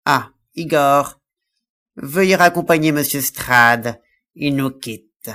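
A man acting a suave, courteous and evasive count in an audio drama, speaking French in a formal, measured way. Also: fairly high pitch, pushed and bright.

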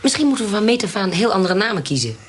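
An actress playing a shrewd, bossy swindler and mistress of a country house in a radio play, brisk and sly. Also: female voice, mid-range voice, sing-song, slight background noise, close to an everyday voice. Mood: scheming. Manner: brisk, commanding, slyly teasing. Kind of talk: Dutch radio play acting.

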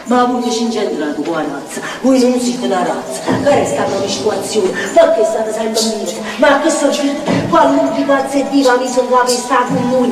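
An actress playing a volatile, bitter Neapolitan slum dweller in a theatrical dialect monologue, chanting repeated phrases and swinging between mutters and shouts. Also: female voice, a little high, close to an everyday voice. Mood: mocking, agitated. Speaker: stage actress. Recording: roomy, distant stage sound with music.

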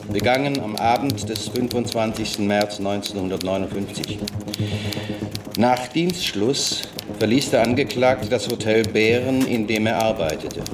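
A low-voiced man delivers a formal, matter-of-fact legal statement. He sounds set back from the microphone, with clear noise and clicking behind him. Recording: distant, noisy, clicking background.

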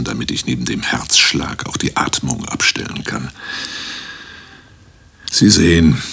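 An actor playing a pompous, philosophising oddball in a satirical radio drama, declaiming with whispery asides. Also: male voice, rumbling low, gravelly, a bit bright, breathy edge, exaggerated voice.

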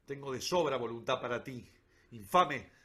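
A man's voice: mid-range voice, lively intonation, normal speed, sharp tone, slightly rough. Man acting out a furious, defiant young captive. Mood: defiant rage.

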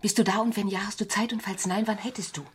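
A woman's voice: medium pitch, fairly even intonation, fast talker, over music, close to an everyday voice. A woman acting a formal, businesslike secretary at a law office in an eighties radio crime comedy. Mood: teasing. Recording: noisy 1980s archive radio recording.

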